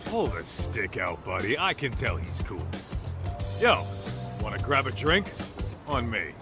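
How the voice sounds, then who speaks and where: A man's voice: medium pitch, sing-song, a little slow. A man acting a brash, easygoing tough-guy detective buddy in an English-dubbed game cutscene, blunt, slangy and jovial. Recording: music behind the voice.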